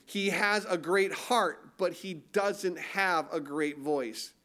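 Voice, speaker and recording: Man, pastor, nasal, high voice, sermon, rhetorical questions. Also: slow, sharp tone. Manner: conversational preaching with rhetorical questions.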